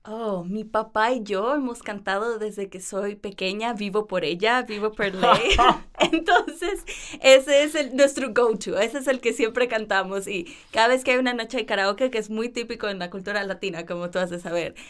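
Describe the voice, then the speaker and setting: A woman's voice: medium pitch, moderate pace. A young woman, chatting cheerfully as a guest on a student podcast interview. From Ecuador. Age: 20s. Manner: cheerful, laughs often, fillers like "um". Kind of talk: casual interview chat.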